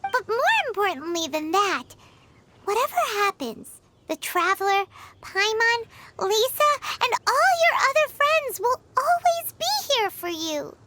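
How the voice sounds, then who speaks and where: A woman's voice: very high-pitched, sing-song, bright, forceful tone, slight background noise, heavily acted. A woman voicing a tiny, chatty floating fairy companion with a chirpy, curious way of talking in a game cutscene. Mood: reassuring. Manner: chirpy, quick reactions, curious questions.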